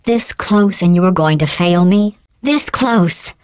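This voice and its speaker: A cold, sarcastic female AI computer talks to her test subjects in a flat, condescending, passive-aggressive tone. Her synthetic-sounding voice sits low and comes out smooth and clean. The game recording is dry and close, with no room sound. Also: slow pace, no room sound. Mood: irritated. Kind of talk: video game voice clips.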